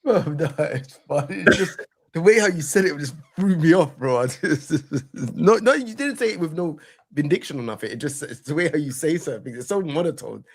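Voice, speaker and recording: A man with a higher, husky voice that rises and falls a lot. He talks in an amused, teasing way as a guest on a casual panel show. His tone stays light and playful. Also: expressive, a little fast.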